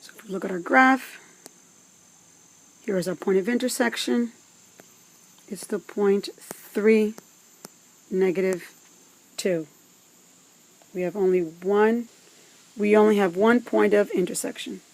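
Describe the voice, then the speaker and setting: Slow-spoken woman teacher with a creaky voice. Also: medium pitch, rough recording. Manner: deliberate, instructive, with pauses between phrases. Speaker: math teacher. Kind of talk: scripted step-by-step instructional narration.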